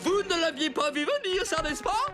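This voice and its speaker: A man's voice: extremely high pitch, animated, moderate pace. A man playing a theatrical, childish villain who poses as a party magician in a dubbed children's cartoon. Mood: gleefully showy. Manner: theatrical, exaggerated. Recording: music behind the voice.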